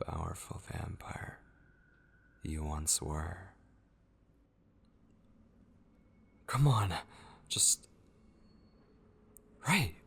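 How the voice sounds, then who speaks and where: Casting: male actor as possessive, unhinged male captor for horror ASMR; gravelly, husky, taunting and devoted, unhurried delivery. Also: sits low, heavily acted. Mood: mocking, menacing. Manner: slow, lingering, repeating words, menacingly tender. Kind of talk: ASMR horror roleplay acting.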